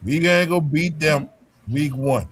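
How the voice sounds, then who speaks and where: A man's voice: a little high, unhurried. Confident man, crowd noise behind. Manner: confident, dismissive. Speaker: guest fan. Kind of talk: casual sports debate.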